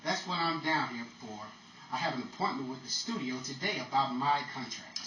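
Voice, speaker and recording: Exasperated, sardonic male Hollywood wisecracker acted by a man, 1940s radio style; bright voice, roomy distant mic. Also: mid-range voice, expressive, a little fast, slight background noise. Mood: dry banter. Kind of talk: 1940s old time radio comedy acting.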